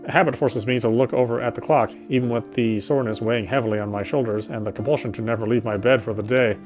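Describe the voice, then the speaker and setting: A man's voice: mid-range voice, fairly even intonation, quick pace, darkish tone. A man gives a dramatic read-aloud of a horror tale with music playing behind him. He narrates in the first person, occasionally tripping on a phrase and going back over it. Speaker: gameplay streamer reading a visual novel aloud. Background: game music.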